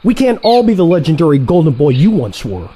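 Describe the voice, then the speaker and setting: Casting: male actor for brash younger assassin, audio drama, soft-edged timbre, very animated intonation, needling and sarcastic. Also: a little high, brisk, husky. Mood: irritated. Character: excitable, cocky younger assassin, male trainee turned rival. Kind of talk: audio play dialogue.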